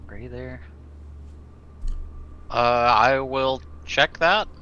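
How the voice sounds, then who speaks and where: A man's voice: medium pitch, drawn-out words, sharp tone, clean tone. A man playing a tabletop roleplaying game in a casual, hesitant, stammering way, half in character. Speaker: tabletop player, playing the captain. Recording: dull lo-fi sound, faint noise.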